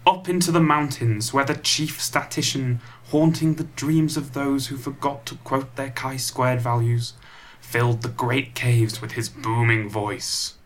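A man narrating a comic pantomime in a scripted, dramatic storytelling style with deliberate phrasing. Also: medium pitch, steady tone.

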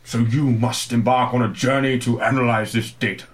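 A man's voice: mid-range voice, fairly even intonation, normal speed, close to an everyday voice. A man playing a kindly, rambling old wizard who speaks in wordy, portentous storytelling for an amateur comic pantomime. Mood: grave.

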